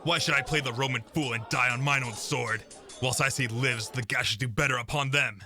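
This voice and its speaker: A man's voice: medium pitch, normal speed, faint music behind, lightly acted. A young man playing a defiant, doomed warrior king in a Shakespearean radio play, delivering verse in a declamatory way. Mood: desperate, defiant. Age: young adult. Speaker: student actor.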